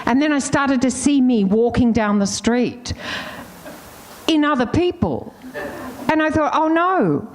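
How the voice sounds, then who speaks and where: A woman's voice: high-pitched, animated, a little slow, a little hiss or hum. An older woman with an Australian accent, telling candid, laughing stories as a panelist. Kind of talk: casual storytelling on a panel.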